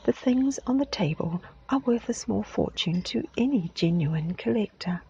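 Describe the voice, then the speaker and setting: A woman's voice: mid-range voice, warm tone, lo-fi sound, slight background noise, pitched above the performer's own voice. A woman voicing a courteous, learned old antiquarian who speaks formally. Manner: formal, as if addressing a meeting.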